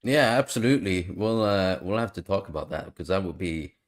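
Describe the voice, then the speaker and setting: A man's voice: sits low, expressive, fairly quick. A man co-hosting a live stream, chatting casually in a rambling way with many fillers. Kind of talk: casual chat. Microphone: video call.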